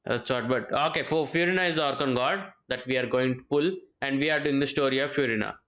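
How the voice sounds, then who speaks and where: A man's voice: medium pitch, quick pace, a bit bright, low-quality recording. A man with a mid-range voice chats on a game livestream. His talk is informal and reactive, with repeated phrases aimed straight at viewers. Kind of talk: casual livestream chat and reactions.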